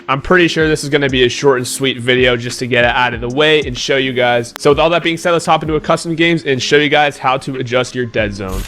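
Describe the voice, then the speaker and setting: A man who is slightly hoarse gives a casual gaming tutorial, speaking straight to his viewers and often saying "like". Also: medium pitch, a bit bright. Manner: casual, talks straight to viewers, many "like" fillers. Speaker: gaming YouTuber.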